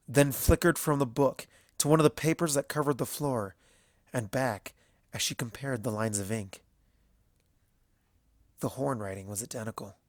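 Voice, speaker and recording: Slow, dry-sounding story narrator. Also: medium pitch, no echo. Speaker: audiobook narrator of fan fiction. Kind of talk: scripted dramatic story narration.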